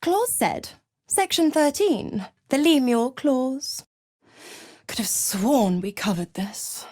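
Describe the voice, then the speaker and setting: Sly, purring she-devil, swooping pitch. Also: expressive, slow, a little mellow, no room sound, studio quality, exaggerated voice. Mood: smugly officious. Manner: teasing, condescending, theatrical drawl with purred pet names. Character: sly, mocking female devil, seductive and smugly superior. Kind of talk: video game character acting.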